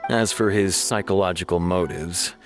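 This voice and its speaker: Male actor as a formal game officer, low and businesslike, soft score behind. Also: fairly even intonation, normal speed, natural voice. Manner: formal, matter-of-fact. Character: stern adult male law officer. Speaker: city officer. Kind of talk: video game dialogue. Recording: game audio with music behind.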